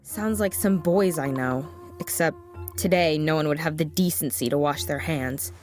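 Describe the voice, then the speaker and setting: A woman's voice: low voice, normal speed, darkish tone, soft background music, natural voice. A woman playing a sardonic schoolgirl in an audio drama, speaking in a wry, casual modern way. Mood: wry, then uneasy. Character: sardonic schoolgirl reacting inside a magic book.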